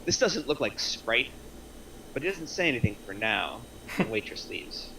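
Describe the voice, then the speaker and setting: A man reads screenplay action lines as narrator. His voice is medium in pitch and bright, on a slightly noisy recording. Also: sing-song, moderate pace. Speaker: stage-direction narrator. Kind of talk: scripted narration at table read. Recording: clear background noise.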